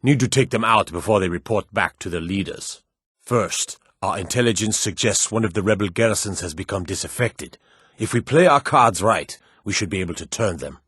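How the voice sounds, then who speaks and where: Low-voiced, brusque fantasy army officer. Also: male voice, normal speed, fairly bright tone, breathy edge, no echo, clean studio sound. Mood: businesslike. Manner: terse, commanding, businesslike briefing. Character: brusque male soldier officer in a fantasy war. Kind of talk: scripted video game dialogue.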